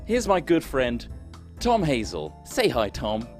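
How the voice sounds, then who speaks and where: Lively mock-salesy man. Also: medium pitch, slow pace, faint music behind. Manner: mock-salesy, stage-whispering asides. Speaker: player reading sponsor ads. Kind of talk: comic sponsor read.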